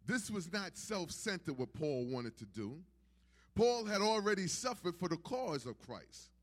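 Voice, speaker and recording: A man's voice: high-pitched, sing-song, measured pace. A man preaching a sermon in an emphatic, repetitive preaching cadence. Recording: long hall reverb.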